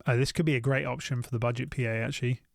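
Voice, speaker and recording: A man's voice: mid-range voice, steady tone, very fast, creaky, no room sound, clean studio sound. A British man giving casual, unscripted commentary as a gear reviewer, thinking aloud and often repeating his phrases.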